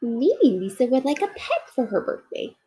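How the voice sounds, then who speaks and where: A small, earnest storybook bear, voiced by a woman, speaks with childlike wonder. The voice is lifted high and swings freely, with a clearly husky edge. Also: huge pitch swings, normal speed, mellow, exaggerated voice. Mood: eager, pleased.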